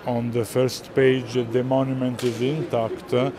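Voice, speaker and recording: A middle-aged man with an Italian accent explaining artworks to visitors, pausing often to search for words. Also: mid-range voice, little pitch movement. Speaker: art gallerist presenting works. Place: large indoor art fair hall. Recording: busy hall crowd noise.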